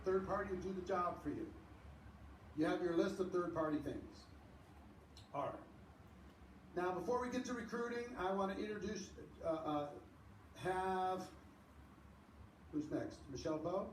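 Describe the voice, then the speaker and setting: A high-pitched man leads a seminar, talking quickly and casually and driving home each point by repeating it. He sounds far from the mic in a roomy space. A faint room hum sits behind him. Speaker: seminar host. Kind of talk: live seminar teaching.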